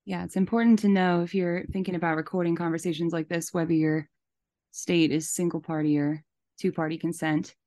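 A woman's voice: lowish voice, level tone, fairly quick, dark tone. A woman co-hosting a podcast, giving casual and disapproving commentary. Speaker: podcast host.

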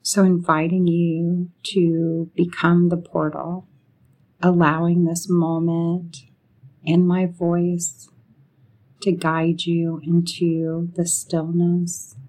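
Female meditation narrator: soothing and relaxed in tone, very slow delivery, short phrases with spacious pauses. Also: lowish voice, poor audio. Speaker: guided meditation leader.

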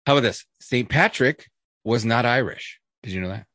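Dude hosting a podcast, middle pitch, dry close sound, joking around and restarting sentences. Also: male voice, normal speed, fairly bright tone, no echo. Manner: jokey asides, many fillers and restarts. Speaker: podcast co-host. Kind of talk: casual chat, reading a list.

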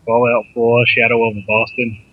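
A man's voice: mid-range voice, monotone, normal speed. A man bantering on a gaming podcast, jokey and self-mocking, on a dull lo-fi mic. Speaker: podcast co-host. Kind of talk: casual gaming podcast chat.

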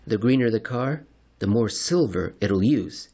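A man reading a scripted narration in a dramatic, deliberate and wry manner. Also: on the low side, lively intonation, gravelly, warm tone. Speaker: narrator and reader. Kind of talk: theatrical scripted narration of market report and story.

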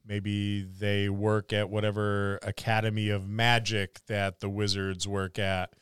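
A man's voice: fairly low pitch, monotone, a little slow, a bit bright, smooth, no room sound, clean studio sound. A man co-hosting a gaming podcast as a game master, discussing things casually.

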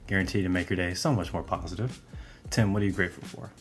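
A man hosting a podcast, energetic and promotional, moving the show along. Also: deep voice, fast, faint music behind. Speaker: podcast host.

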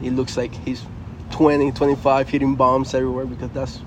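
A man's voice: medium pitch, flat delivery, fairly quick, a little hiss or hum. A young man from Venezuela, a native Spanish speaker with a Venezuelan Spanish accent, talking casually and earnestly in an interview as a college baseball player. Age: young adult. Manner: earnest, loose, frequent "man" and "you know".

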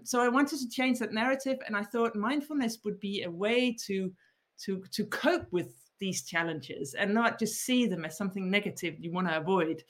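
Thoughtful Danish-accented woman in her fifties. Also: lowish voice. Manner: thoughtful, explaining at length. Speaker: author and mindfulness teacher. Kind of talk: interview answers.

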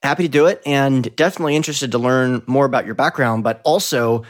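A man's voice: medium pitch, fast talker. A man hosting a podcast, chatty and upbeat as he introduces and questions his guest. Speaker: podcast host. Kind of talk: casual interview hosting.

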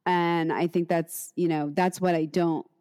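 Reflective, lower-voiced woman teacher. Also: flat delivery, a little mellow, clean tone. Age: middle-aged. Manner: reflective, halting with many restarts. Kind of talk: casual conversation.

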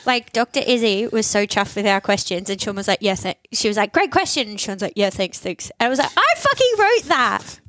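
A woman's voice: medium pitch, sing-song, brisk, few pauses, a bit bright, no echo. A woman co-hosting a podcast, chatting casually with playful, cheeky banter.

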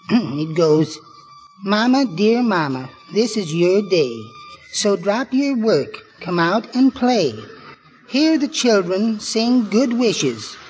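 A man's voice: high-pitched, very animated, slow pace, a little mellow, faint music behind, full character voice. A man playing a meek, earnest little amateur poet in 1940s radio comedy, speaking politely and reciting with care. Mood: reciting sweetly. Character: meek, mild-mannered little man who writes comic greeting-card poems. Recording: noisy 1940s radio recording.

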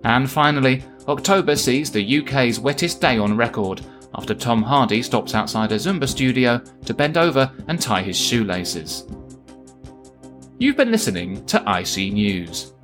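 A man in his thirties presents mock news. His medium-pitched voice rises and falls briskly in newsreader style while he keeps the scripted jokes deadpan. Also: faint music behind. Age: 30s.

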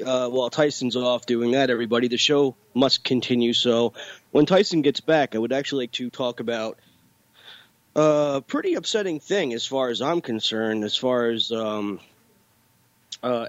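A man's voice: mid-range voice, lo-fi sound. A young man with bronchitis, congested and rambling loosely as podcast co-host. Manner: rambling, filler-heavy, laid-back. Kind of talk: casual chat.

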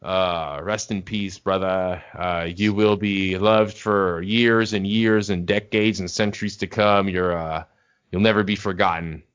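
A man's voice: deep voice, steady tone, a little slow, fairly bright tone, clean tone, lo-fi sound. A man co-hosting a casual podcast, talking in a laid-back, rambling way with lots of fillers. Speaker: podcast co-host. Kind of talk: casual chat.

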